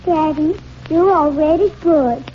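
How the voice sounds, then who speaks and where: A woman's voice: sits high, measured pace. A woman playing a sweet, innocent little girl with a small childlike voice in a 1940s radio drama. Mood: innocent, coaxing. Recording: muffled noisy 1940s radio recording.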